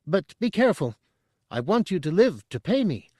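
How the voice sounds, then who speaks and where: A man doing a squeaky nervous mouse-like alien. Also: very animated, normal speed, warm tone, no room sound, studio quality. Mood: nervous eager. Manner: stammering, eager, squeaky.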